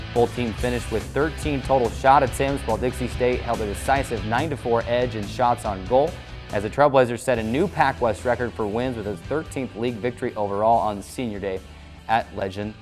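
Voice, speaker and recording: Male sports host, mid-pitched voice, formal broadcast recap read over music. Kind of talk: scripted sports recap narration.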